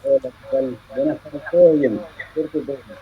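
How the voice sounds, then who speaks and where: A man from Chile in his thirties, a guest in a live interview, talking in halting, self-correcting phrases. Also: medium pitch, a little hiss or hum. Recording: dull lo-fi audio.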